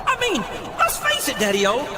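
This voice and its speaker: A man playing an exasperated, scheming wrestling manager in a video game, scolding officiously in an animated promo. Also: extremely high pitch, normal speed, heavily acted. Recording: noisy arena with loud crowd.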